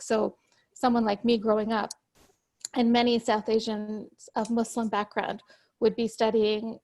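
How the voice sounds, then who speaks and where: A woman whose first language is Urdu speaks in a somewhat high voice with a gravelly, fry-laden edge. She explains things in a measured, thoughtful way, now and then restarting a phrase as she goes. It sounds like a video call. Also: darkish tone. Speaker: guest author.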